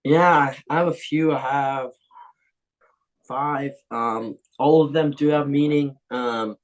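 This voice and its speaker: A man's voice: mid-range voice, fairly even intonation, takes it slowly, low-quality recording. Easygoing young man with frequent ums. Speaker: professional footballer guest. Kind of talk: casual interview answers.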